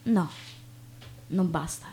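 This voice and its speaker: A woman acting on stage as a chatty, naive young woman, expressive and colloquial. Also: on the low side, slow pace. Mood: earnest, declamatory. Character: chatty, inquisitive, self-deprecating young woman, breezily naive. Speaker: stage actress. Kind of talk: theatrical monologue and dialogue. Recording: noticeable background noise with hum.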